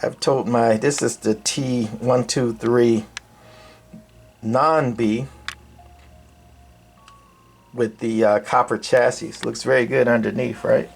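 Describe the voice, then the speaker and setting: A man's voice: medium pitch, steady tone, takes it slowly, vocal fry, pauses often, faint music behind. An older man giving a casual, unscripted walkthrough as a radio hobbyist, talking in a rambling, colloquial, folksy way. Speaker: hobbyist radio repairer and video presenter.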